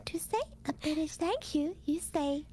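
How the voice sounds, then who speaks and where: A woman's voice: high voice, measured pace, mellow, slight background noise. A woman with a cutesy, theatrical, teasing manner, bantering casually on a livestream and dropping in playful exclamations. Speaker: VTuber collab partner and streamer.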